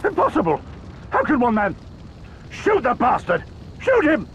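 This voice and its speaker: An actor voicing a furious, panicked military officer in a video game, shouting urgent orders. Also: male voice, very high-pitched, wildly swooping pitch, unhurried, a little hiss or hum, exaggerated voice, rough recording. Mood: frantic fury. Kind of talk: dramatic video game voice acting.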